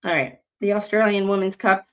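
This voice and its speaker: A woman's voice: medium pitch, fairly even intonation, brisk, fairly bright tone. A young adult woman from the United States, hosting a casual livestream, talking in a rambling way with many fillers and restarts, and reading news excerpts aloud. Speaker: livestream host.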